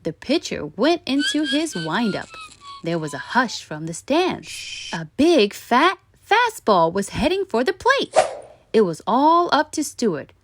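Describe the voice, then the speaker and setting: Female picture-book narrator: unhurried, very expressive pitch, dramatic pauses for young listeners. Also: noisy background. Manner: dramatic pauses and emphatic repetition. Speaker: storyteller. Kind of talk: animated children's read-aloud narration.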